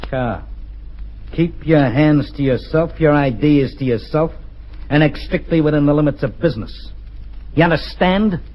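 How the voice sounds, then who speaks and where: A man's voice: fairly high pitch, normal speed, a little mellow, lightly acted, poor audio. A man playing a cold, commanding, ruthless gang boss in an old-time radio drama, clipped and bossy. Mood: stern.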